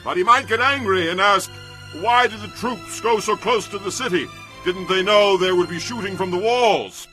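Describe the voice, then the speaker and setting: An actor playing a stern military commander giving careful, emphatic instructions in a dramatized audio Bible. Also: male voice, sits high, pushed and bright.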